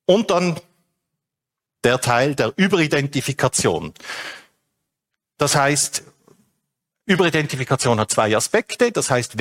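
A man's voice: mid-range voice, fairly bright tone. A man explains a legal and technical topic step by step, with frequent hesitations. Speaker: speaker on data protection. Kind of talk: explanatory talk.

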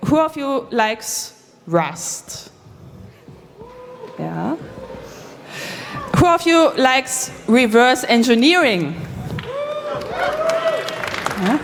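Slow, high-voiced woman announcing in a hall. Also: sing-song, noisy background. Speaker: conference host introducing speakers. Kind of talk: stage announcement to an audience. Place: large conference hall. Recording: echoey hall with long reverb.

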